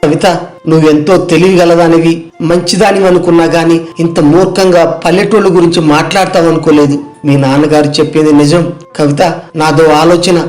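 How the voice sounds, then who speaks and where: A man's voice: a little high, flat delivery, a little fast, darkish tone, close to an everyday voice. A man playing an earnest, idealistic young man who explains himself politely and sincerely in an audio story. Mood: earnest, persuading. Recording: music behind the voice.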